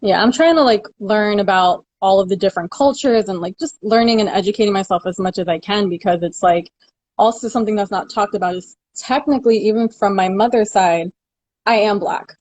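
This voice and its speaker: A woman from the United States, a guest on a video call, talking in an upbeat, quick way with frequent "like" and "you know". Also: medium pitch, darkish tone, no echo. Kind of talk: casual video call chat.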